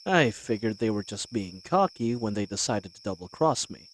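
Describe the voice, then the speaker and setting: Man acting a wry, animated bandit leader. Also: fairly low pitch, normal speed, a little hiss or hum. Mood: wry, scheming. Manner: folksy, colloquial, wry. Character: cocky, wary male bandit leader plotting a heist. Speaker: voice actor in a scripted roleplay audio. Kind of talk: scripted fantasy audio roleplay, addressing the listener.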